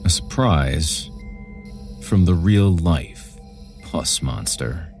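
A man narrating a scripted horror story in a dramatic, deliberate storytelling manner. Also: sits low, expressive, darkish tone, soft background music.

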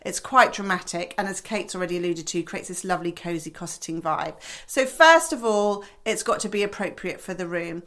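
A woman co-hosting a home design podcast, chatting casually and lively. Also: on the low side, brisk, few pauses. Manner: lively, laughs easily, chips in. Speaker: podcast co-host. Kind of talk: casual chat.

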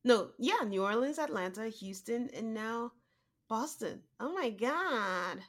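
A woman co-hosting a podcast, nasal and congested from a cold, chatting casually with quick asides and laughs. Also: a little high, expressive. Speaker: podcast co-host. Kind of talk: casual chat.

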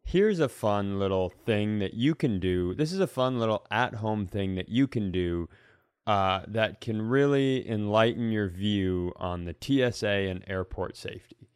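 A man's voice: lowish voice, animated, unhurried, clean tone, no echo, studio quality. A man chatting casually as a comedy podcast host, sarcastic and given to acting out the people he describes. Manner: sarcastic, acts out quoted characters.

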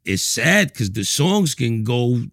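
A man's voice: medium pitch, sing-song, slow, a bit bright, no echo, studio quality. A man in casual interview talk, laid-back, often trailing off and repeating words before dropping to a mumble. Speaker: rapper guest.

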